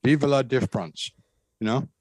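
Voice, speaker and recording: Earnest man with a bass voice. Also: normal speed, darkish tone, breathy edge, no echo, rough recording. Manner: earnest, emphatic, repeats himself. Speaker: guest describing a spiritual experience. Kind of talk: conversational storytelling.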